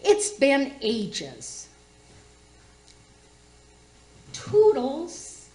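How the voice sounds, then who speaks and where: A woman's voice: sits high, huge pitch swings, drawn-out words, warm tone, heavily acted. A woman playing a nosy, sly older neighbour in a comic radio play, with a folksy, gushing, sing-song manner. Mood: sugary. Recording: roomy, echoing recording.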